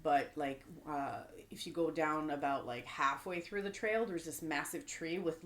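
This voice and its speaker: Low-voiced rambling woman. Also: moderate pace, fairly bright tone. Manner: rambling storyteller, lots of fillers. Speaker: podcast co-host. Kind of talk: casual chat.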